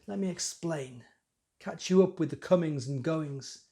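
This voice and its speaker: A man's voice: a little high, a little slow, warm tone. A man playing a young temple priest, a self-important, scheming conspirator, confiding in a theatrical, musing monologue. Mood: flustered, confiding. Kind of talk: dramatic monologue.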